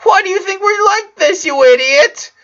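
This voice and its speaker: A man voicing an angry, hurt teenage girl, loud and indignant, for a story reading. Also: extremely high pitch, lively intonation, moderate pace, muffled recording, pitched above the performer's own voice, full character voice.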